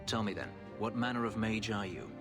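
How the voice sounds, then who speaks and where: Male actor as brooding elf ex-slave, very low voice, bitter, formal, guarded, fantasy game, music behind. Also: natural voice. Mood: suspicious. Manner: formal, grave, measured speech. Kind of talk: dramatic video game acting.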